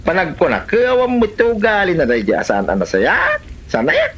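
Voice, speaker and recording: An actress plays a concerned Filipino village relative, forever persuading, in a comedy drama. Her voice is husky and swoops dramatically, on a slightly dull recording. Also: on the low side, wildly swooping pitch, brisk, heavily acted.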